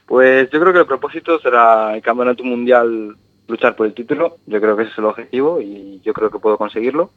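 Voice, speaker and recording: A man's voice: mid-range voice, a little fast, dry recording. A young man from Galicia, a trial rider, calling in by phone to a radio show and talking in an easygoing way. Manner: easygoing, laughs readily. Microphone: phone line. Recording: dry tinny phone line.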